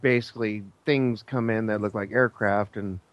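A man telling a story casually as a guest, halting and searching for his words. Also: lowish voice, steady tone, no echo, lo-fi sound. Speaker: guest, air traffic controller.

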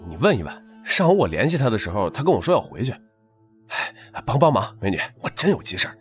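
A man's voice: mid-range voice, sing-song, fast talker, soft background music, natural voice. A man playing an anxious, polite and insistent young caller in an audiobook drama. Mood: politely urgent.

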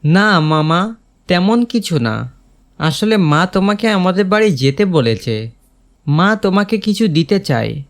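A man's voice: high-pitched, animated, a little slow. A man playing a clever, scheming young village son in a cartoon moral tale, speaking earnestly and persuasively. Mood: sly, persuasive.

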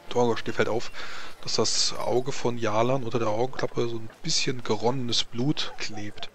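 A man narrating as game master, improvising atmospheric scenes in measured, short phrases with pauses. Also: mid-range voice, a bit bright.

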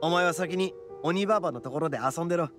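A man playing a brash, big-hearted young oni gang boss in a Japanese anime game, with rough-edged, blunt street-tough slang. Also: fairly high pitch, quick pace, over loud music, lightly acted. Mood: cocky, hearty.

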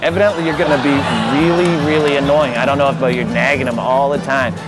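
A man in his thirties hosting a prank segment, interviewing couples in a deadpan, mock-serious way. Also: medium pitch, fast, over loud music, a loud crowd, low-quality recording. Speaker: prank show host. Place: city street and studio set.

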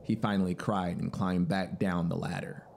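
A man in his thirties narrating a literary story in an expressive, deliberate, dramatic way, close to a studio microphone. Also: sits low, vocal fry. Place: small home music studio. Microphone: close studio microphone on boom arm. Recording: ambient soundscape noise behind voice. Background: synthesizer soundscape.

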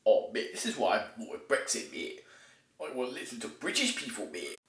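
A man doing loud, crude comedic roleplay while gaming, ending nearly every line with "mate". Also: medium pitch, sing-song, fairly quick, background noise. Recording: roomy echo on the voice.

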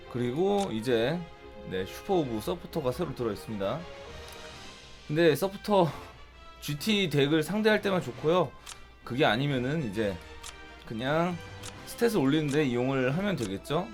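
A man with a hoarse, cracking, sore-throated voice giving casual commentary as the host of a gaming video. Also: a little high. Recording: loud music behind the voice. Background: loud music.

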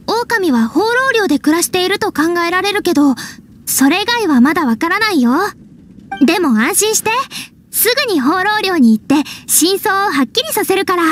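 Very high, spirited young scout. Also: female voice, expressive, normal speed, a little hiss or hum. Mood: reassuring. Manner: bright-spirited, confident, girlish. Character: spirited young female knight scout, earnest and reassuring. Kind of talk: anime video game voice acting. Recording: music behind the voice.

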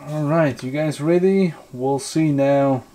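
Higher-voiced man reviewing casually. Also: warm tone, a little hiss or hum. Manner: halting, repetitive, thinking aloud. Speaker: product reviewer and vlogger. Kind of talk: casual hands-on review talk. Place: indoors at a tabletop.

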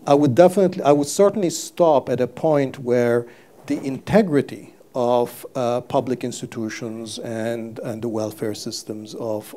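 Older man from Turkey, mellow voice, halting stage-guest delivery with fillers and restarts, lapel mic. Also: medium pitch. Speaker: guest economist. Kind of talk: live stage interview. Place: stage before a live audience.

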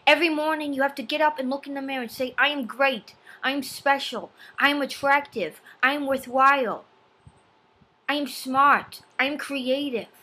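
A woman voicing an earnest fifteen-year-old nerdy boy giving a preachy, deliberate self-help video blog. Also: sits high, sharp tone. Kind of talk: amateur self-help vlog monologue. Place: small home room. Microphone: laptop webcam at arm's length.

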